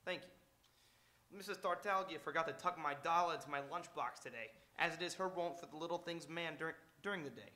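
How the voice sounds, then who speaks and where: A man's voice: fairly high pitch, fairly quick, sharp tone. A man playing a long-winded, good-natured police sergeant in a live radio drama, speaking with pompous formality. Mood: earnest, officious. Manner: verbose, pompously formal wording.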